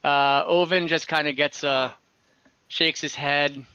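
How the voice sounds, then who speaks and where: A man narrating an online tabletop game as game master, describing scenes in a halting, improvised way over a lo-fi call. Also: fairly high pitch, steady tone, bright, forceful tone, smooth, dry recording.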